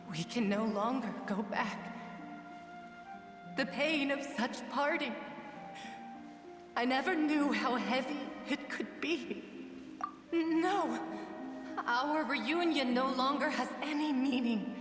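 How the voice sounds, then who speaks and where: A woman's voice: sits high, slow pace, long pauses, music in the background. A woman voicing the sorrowful lingering consciousness of a lost young woman adventurer, speaking in a wistful, mournful way. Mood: grieving. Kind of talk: game voice acting. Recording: roomy reverb, distant from mic.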